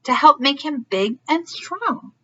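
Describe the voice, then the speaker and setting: A woman's voice: high-pitched, expressive, slow pace, a bit bright, muffled recording. A woman hosting a storybook read-aloud for young children, asking questions and explaining as she goes. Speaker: early learning read-aloud host.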